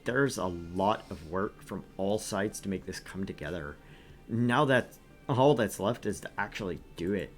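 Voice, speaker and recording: A man's voice: deep voice, lively intonation, a little slow, faint music behind, voice pushed down. A man voicing a friendly, laid-back young surfer guy in a visual novel. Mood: casual. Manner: easygoing, drawling.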